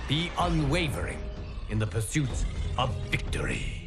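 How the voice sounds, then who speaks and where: A man voicing a solemn, wise guardian god, narrating a fighting game story in grave, formal, measured diction. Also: medium pitch, big pitch swings, over music. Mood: grave, resolute.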